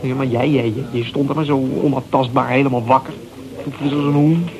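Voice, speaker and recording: An actor in a Dutch radio play as a defensive, insecure young man who talks haltingly in coarse, slangy language. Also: mid-range voice, fairly even intonation, dull audio, lightly acted. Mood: confessional, vulnerable. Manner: halting, repeating words, coarse slangy swearing. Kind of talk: naturalistic Dutch radio drama acting. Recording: radio play with crowd chatter behind.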